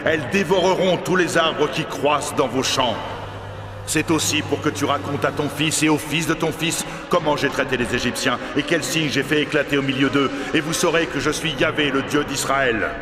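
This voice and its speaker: A man's voice: on the high side, moderate pace. Man voicing a stern echoing God. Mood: solemn, threatening. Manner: solemn, declamatory. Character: God of Israel, stern, commanding, all-powerful divine voice. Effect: long reverb. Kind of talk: dramatized Bible cartoon dialogue. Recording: cavernous echo, noisy ambience behind.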